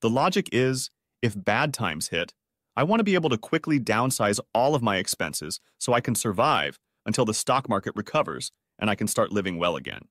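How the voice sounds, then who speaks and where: A man presenting a scripted finance explainer in a didactic, measured manner with rhetorical questions. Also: fairly low pitch, very animated, fairly bright tone, no room sound, studio quality.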